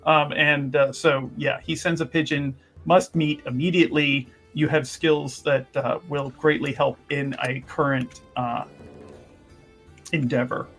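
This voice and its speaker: A man playing a tabletop game, narrating his character's actions in halting, mock-formal wording. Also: a little high, steady tone, a bit bright, faint music behind.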